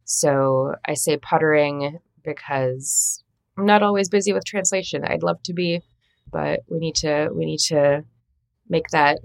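Low, gravelly-voiced woman from Minnesota. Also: dry recording. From Minnesota, United States. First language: Latvian. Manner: reflective, storytelling, warm laughs. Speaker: translator and editor, interview guest. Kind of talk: casual interview conversation.

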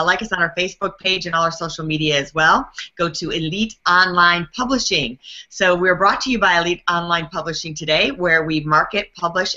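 Female show host, forceful bright voice, on the low side, interviewing guests, lo-fi sound. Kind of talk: promotional show intro and interview. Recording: dull lo-fi audio.

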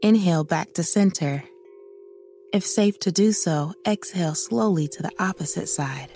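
A woman narrating a public service announcement, giving slow, soothing breathing instructions. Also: lowish voice, dark tone, faint music behind.

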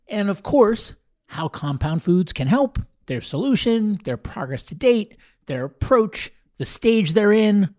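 A man's voice: high-pitched, a little mellow, dry recording. Male podcast host, close dry sound. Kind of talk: podcast introduction and interview.

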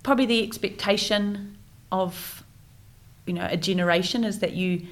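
A woman's voice: mid-range voice, moderate pace. A woman in her forties with a New Zealand accent, a guest talking reflectively in a casual interview, with many fillers and restarts.

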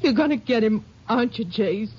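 Soft, breathy texas widow, halting speech, muffled old radio sound. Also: female voice, on the high side, expressive. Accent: Texan. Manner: hesitant, halting. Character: grieving widow of a small-town sheriff. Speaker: actress in a radio drama. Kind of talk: 1950s radio drama acting.

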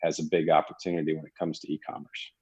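Low husky-voiced man, casual interview talk. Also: flat delivery, a little mellow, highs cut off. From Europe. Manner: fast, conversational, frequent restarts. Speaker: guest executive. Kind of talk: podcast interview.

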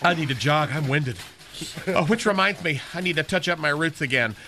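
Brief: high, bright, catty receptionist guy, a man putting him on, camp lilt, radio sketch. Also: expressive, brisk, a little hiss or hum. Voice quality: lilting, swooping camp delivery. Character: flamboyant, catty, gossipy male receptionist. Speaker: show's receptionist character.

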